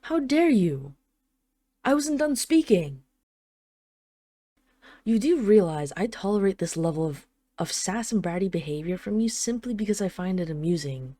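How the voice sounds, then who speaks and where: A woman's voice: mid-range voice, mellow, no room sound, studio quality, close to an everyday voice. A woman playing a haughty, teasing dragon queen in an intimate audio roleplay, with an imperious, sarcastic, mock-sweet manner. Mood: indignant, haughty. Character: haughty, teasing dragon queen, possessive of her human pet husband. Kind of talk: intimate scripted audio roleplay addressed to the listener.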